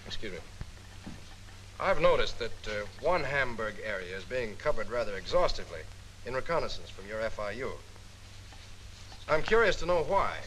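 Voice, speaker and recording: A skeptical wartime intelligence officer. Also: male voice, mid-range voice, big pitch swings, moderate pace, long pauses, a bit bright, poor audio. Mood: probing. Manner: dry, questioning, slightly wry. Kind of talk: 1940s training film acting. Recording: 1940s film soundtrack with faint hiss.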